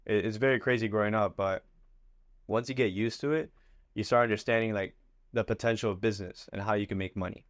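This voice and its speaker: A man in his twenties tells his story in an easy, rambling way, dropping fillers as he goes. He grew up in Miami after leaving Ecuador. His voice sits low and sounds dry and close to the mic. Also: fairly quick, dry recording. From Ecuador, raised in Miami. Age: 20s. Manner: relaxed, loose, many fillers. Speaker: guest entrepreneur. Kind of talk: casual interview talk.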